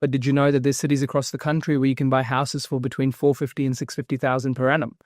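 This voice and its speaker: A man's voice: mid-range voice, no echo, clean studio sound. A man, talking energetically as a guest in a casual interview. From New Zealand. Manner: energetic, fast-flowing explanations with fillers. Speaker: guest, property investment founder.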